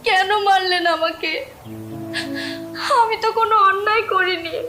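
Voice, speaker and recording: A woman plays a meek young sister in a story drama, plaintive and pleading. The voice is extremely high, with music clearly playing underneath. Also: measured pace. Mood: tearful, hurt. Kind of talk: dramatised moral story acting.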